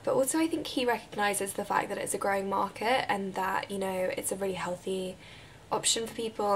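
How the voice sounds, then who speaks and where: A woman in her twenties with a croaky voice from a recent illness, chatting casually as she answers viewer questions to camera in her bedroom. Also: medium pitch, slight background noise. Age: 20s. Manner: chatty, relaxed, drifts into breathy whispers. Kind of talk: casual Q&A vlog. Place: bedroom, indoors. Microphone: camera mic at close range.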